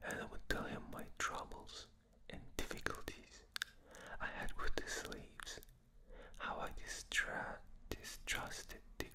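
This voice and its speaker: A man with a non-native accent reading a novel aloud in a soft, fully unvoiced ASMR whisper on a binaural microphone, late at night. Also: slow. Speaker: ASMR reader. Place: dark room at night, reading from a tablet.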